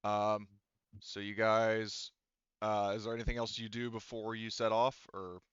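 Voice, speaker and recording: A man with a low, clean voice narrates a tabletop roleplaying session. His delivery is casual and halting, with pauses as he works things out. The sound is close and dry but slightly dull, like online voice chat. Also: level tone, fairly bright tone, smooth, no echo. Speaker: game master. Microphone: online voice chat microphone.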